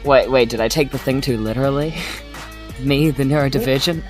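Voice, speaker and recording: A woman's voice: rumbling low, fairly quick, a little mellow, faint music behind. A woman with a New Zealand accent, playing in a tabletop game, chatty and playful with rules questions. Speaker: player. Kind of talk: tabletop game play chat.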